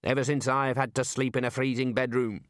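A man's voice: mid-range voice, flat delivery, a little fast, no echo, clean studio sound, natural voice. A man voicing a grumbling, self-indulgent older male wizard with a sardonic manner.

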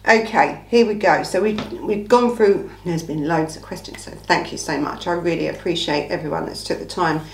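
English woman, fairly low pitch, a bit croaky from being unwell, casual emphatic host chat, reverberant room. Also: moderate pace, hardly any pauses, a little hiss or hum. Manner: informal, emphatic, rhetorical asides. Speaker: true-crime channel host. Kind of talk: casual chatty Q&A monologue. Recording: echoey room.

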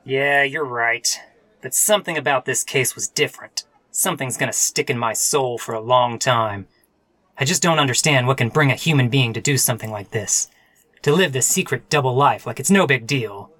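An actor playing a sardonic, melodramatic hard-boiled private detective in a parody radio mystery. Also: male voice, medium pitch, normal speed, sharp tone, no echo. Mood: brooding.

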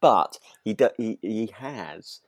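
British man, low voice, dry close sound, podcast co-host, casual chat, rambling and hesitant. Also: expressive, takes it slowly, no echo.

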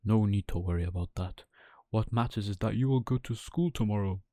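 A man voicing a kindly, loving woodcarver father with a heartfelt, expressive delivery in a bedtime story. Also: deep voice, fairly quick, no room sound, studio quality. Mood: reassuring.

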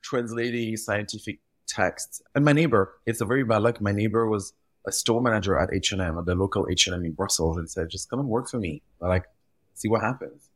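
Deep-voiced man. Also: a little fast, breathy edge, no room sound. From Belgium. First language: French. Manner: animated, repeats words while gathering thoughts. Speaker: guest, retail visual expert. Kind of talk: conversational podcast interview.

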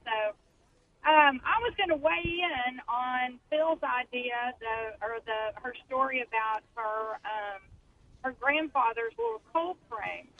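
A woman's voice: on the high side, animated, slow pace, narrow, tinny audio. A woman with a Texan accent calling in from her car, telling a rambling story in casual chat. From Texas Panhandle. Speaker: caller. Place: driving in a car. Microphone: phone.

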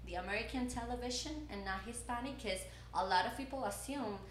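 Cuban-accented young woman, animated. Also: fairly high pitch, a little fast, reverberant, slight background noise, low-quality recording. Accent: Cuban Spanish. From Cuba. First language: Spanish. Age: 20s. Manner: animated, repeats words when starting a thought. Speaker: journalism student guest. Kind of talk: casual chat.

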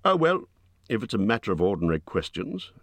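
A man's voice: on the low side, sing-song, a little fast, a bit bright, no room sound, pitched above the performer's own voice, exaggerated voice. A man voicing a small, plucky storybook adventurer who protests insistently in a raised, exclaiming voice. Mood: annoyed, persistent.

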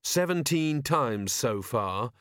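A female watch officer, voiced by a male audiobook reader. She is terse and dry, with a clipped, deadpan delivery. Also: mid-range voice, slow, dry recording.

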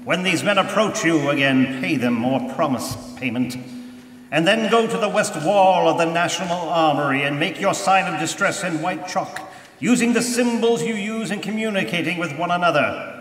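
A man acting a commanding, ominous crime fighter in a live radio drama, speaking in solemn, measured pronouncements. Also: high-pitched, a bit bright. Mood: grave, commanding. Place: church used for live stage performance. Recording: distant, echoey, noisy live hall recording.

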